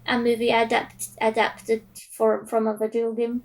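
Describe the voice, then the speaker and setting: A woman's voice: a little high, monotone, measured pace. A woman co-hosting a casual podcast, speaking loosely with many "like"s and giving blunt opinions. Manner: fills her talk with "like", blunt opinions. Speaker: podcast co-host.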